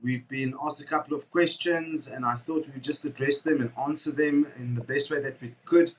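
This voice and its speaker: Easygoing host, set back from the mic. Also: male voice, mid-range voice, fairly even intonation, moderate pace, distant mic, low-quality recording. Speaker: host and interviewer. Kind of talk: relaxed interview questions.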